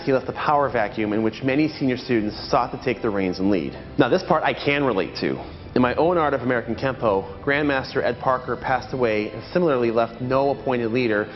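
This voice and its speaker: A man hosting a martial arts channel, giving a scripted, measured and earnest documentary narration. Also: mid-range voice, in a large hall, highs cut off. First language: not Japanese.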